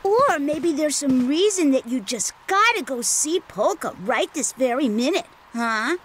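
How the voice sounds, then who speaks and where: A man's voice: extremely high pitch, animated, unhurried, fairly bright tone, slight background noise, heavily acted. An actor playing a friendly, teasing young boy adventurer in an English video game dub.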